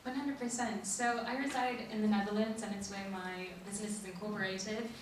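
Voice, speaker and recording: An articulate, enthusiastic woman answering on a live conference panel. Her voice sits mid-pitch and comes from a distance, with heavy room echo and a faint murmur of ambience behind. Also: fairly even intonation, fairly quick, slight background noise. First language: English. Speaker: entrepreneur guest. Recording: echoey hall, voice from a distance.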